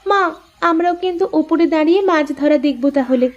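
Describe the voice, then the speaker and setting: A woman's voice: high-pitched, fast, highs cut off, lightly acted. A woman voicing a pouty, dutiful young village wife in a cartoon. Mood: eager. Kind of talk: cartoon voice acting.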